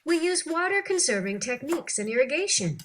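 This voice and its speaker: A woman reading an interview text aloud for children in an animated, clearly phrased, teacherly way. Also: sits high, brisk, background noise. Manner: animated, clearly phrased, acting out questions and answers.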